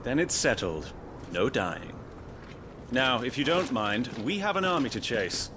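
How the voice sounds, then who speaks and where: An actor playing a resolute, commanding soldier in a fantasy video game. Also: male voice, medium pitch, expressive, a little slow, background noise, natural voice. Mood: resolved. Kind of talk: cinematic fantasy game acting.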